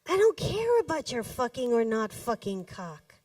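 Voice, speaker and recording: A woman performs her poems in a roomy space, heard from a distance. Her voice is fairly high and swings widely in pitch. She phrases each line deliberately, leaving pauses and leaning on key words. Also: sing-song, a little mellow. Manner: deliberate, dramatic phrasing with pauses and emphatic stresses. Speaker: poet reading her own poems. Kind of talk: live poetry reading to an audience. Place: indoors in a cafe. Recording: roomy echo, distant microphone.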